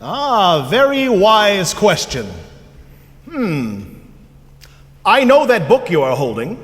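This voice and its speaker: An actor playing a jolly, boastful, troll-like night spirit in a staged musical reading, theatrical and playful in both speech and song. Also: male voice, sits high, big pitch swings, slow pace, exaggerated voice. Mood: coaxing. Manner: theatrical, playful, grandiose phrasing. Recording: live school stage, long reverb, loud music.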